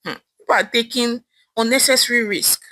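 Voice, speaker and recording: A woman storyteller voicing an excitable, hopeful young woman in a Nigerian accent, exclaiming and talking things over to herself. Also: fairly high pitch, fairly quick, no room sound. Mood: reproachful.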